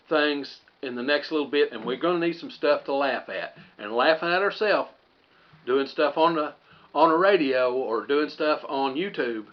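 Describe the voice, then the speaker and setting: A man's voice: medium pitch, muffled recording. A man hosting a homestead channel, talking casually to viewers in a folksy, rambling way and thinking aloud as he goes.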